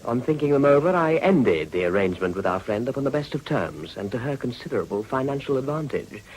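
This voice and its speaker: Suave gentleman politician with animated intonation played by an actor. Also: mid-range voice, quick pace, lightly acted, low-quality recording. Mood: smooth, self-satisfied. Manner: urbane, drawing-room formality. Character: suave, self-satisfied, wry gentleman politician. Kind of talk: period radio drama acting.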